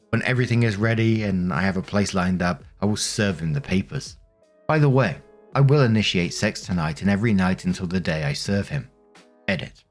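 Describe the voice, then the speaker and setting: A man narrating online posts, reading steadily and adding brief casual asides. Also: on the low side. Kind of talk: reading posts aloud with commentary.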